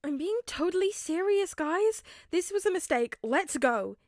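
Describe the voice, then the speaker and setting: A woman's voice: very high voice, expressive, measured pace, darkish tone, no room sound, studio quality, pitched above the performer's own voice, exaggerated voice. A woman voicing a jumpy, wide-eyed teenage boy who exclaims and stammers. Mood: frightened.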